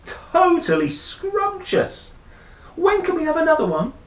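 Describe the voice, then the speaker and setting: A man's voice: extremely high pitch, wildly swooping pitch, a little slow, voice pushed up, full character voice. A man voicing a polite, greedy little boy monster in a children's storybook, eager and full of exclamation. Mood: gleeful, excited. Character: Rory, gleeful greedy little boy monster who loves cooking. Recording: roomy, echoey, faint background noise.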